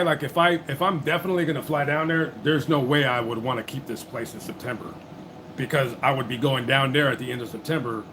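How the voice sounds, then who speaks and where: A man's voice: medium pitch, rough recording. A man hosting a livestream, chatting casually and rambling with lots of swearing and restarts. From United States. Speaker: livestream host.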